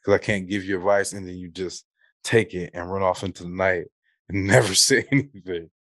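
Man, American accent, low pitch, very dry recording, casual podcast talk, opinionated, colloquial. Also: steady tone, brisk, fairly bright tone, dry recording. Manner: opinionated, colloquial, mildly profane. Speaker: podcast co-host. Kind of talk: casual unscripted chat.

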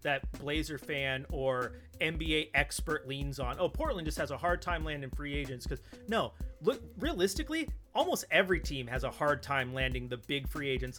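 A man's voice: fairly high pitch, fairly bright tone. A man talking sports casually as a podcast co-host, arguing his opinions emphatically and repeating his points.

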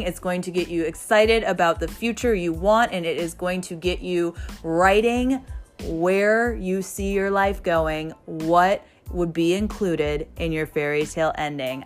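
A woman with a cold, her voice raspy and her nose stuffed, hosting a solo podcast and pausing for breath as she talks. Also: medium pitch. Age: 20s. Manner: pauses to catch breath. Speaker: podcast host.

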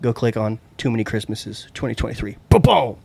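A man hosting a casual podcast, chatty and joking, with quick asides to his guests. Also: fairly low pitch, gravelly, darkish tone, breathy edge. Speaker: podcast host. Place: indoor recording room.

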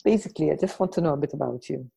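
A woman hosting a podcast, introducing and questioning in a conversational, reflective way with deliberate pauses. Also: low voice, animated, creaky, dark tone, breathy edge. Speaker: podcast host.

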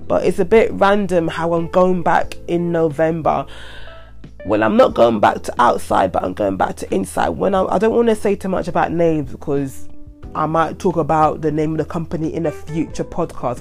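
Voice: mid-range voice, vocal fry, a little mellow. A young adult podcaster with a stuffy head cold, rambling casually and repeating themselves. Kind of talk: casual solo monologue.

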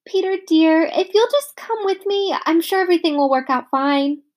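A woman's voice: very high voice, expressive, a little mellow, pitched above the performer's own voice. A woman doing a sugary, cooing nurse. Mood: coaxing. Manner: slow, sugary, coaxing. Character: sweet, cooing female dental nurse.

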